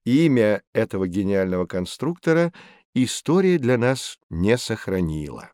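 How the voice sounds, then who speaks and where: Animated, friendly male teacher. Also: fairly low pitch, dry recording, studio quality. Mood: explanatory. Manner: didactic, measured. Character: knowledgeable, friendly teacher guiding children through history. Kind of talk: scripted educational drama, explanatory.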